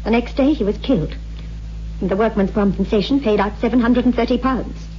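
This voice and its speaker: An actress playing a distraught, obsessive widow in a 1960s radio horror drama, intense and insistent. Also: female voice, on the high side, a little fast, a little hiss or hum, lightly acted. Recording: dull old radio recording, faint music.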